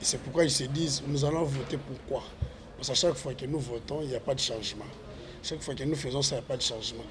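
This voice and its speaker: A man's voice: mid-range voice, fairly even intonation, fast, noisy background. A man, a parliamentary candidate answering interview questions with earnest, repetitive appeals to voters. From Congo.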